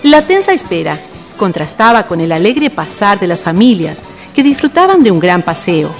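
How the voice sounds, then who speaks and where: A woman's voice: mid-range voice, sing-song, normal speed, soft background music. A woman reading scripted narration for a radio drama.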